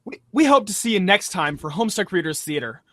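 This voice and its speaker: High, bright, animated male show host. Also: fast talker. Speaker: host and voice actor of a read-aloud show. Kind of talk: theatrical show sign-off.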